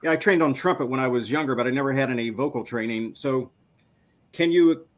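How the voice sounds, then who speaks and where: A man's voice: mid-range voice, a little fast. A man co-hosting a casual talk show, asking curious questions with many restarts and hesitations. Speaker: co-host. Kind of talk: casual chat.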